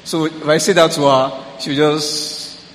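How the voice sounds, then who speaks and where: A young man sharing about his family in a relaxed, halting way with fillers. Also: on the high side, a little hiss or hum. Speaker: panel participant. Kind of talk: casual sharing. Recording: echoey hall with faint ambience.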